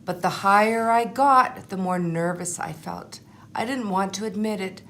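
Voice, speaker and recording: A woman voicing a humble, anxious but determined egg telling his life story in a storybook, in an earnest, measured way. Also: medium pitch, a bit bright, a little hiss or hum. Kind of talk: storybook first-person narration.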